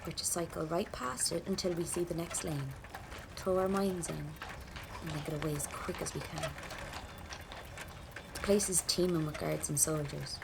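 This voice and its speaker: A woman's voice: sits low, steady tone, normal speed, vocal fry, pauses often, noisy background. An actress with a Dublin Irish accent playing a tense, hardened young rebel woman, narrating a 1920s audio drama in the first person. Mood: tense, nervous.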